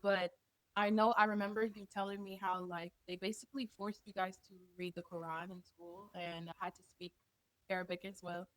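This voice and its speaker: A woman's voice: medium pitch, little pitch movement, normal speed, no room sound, poor audio. Young woman co-host, dry close sound. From Sudan. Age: young adult. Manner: curious, friendly interviewer. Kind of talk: casual chat.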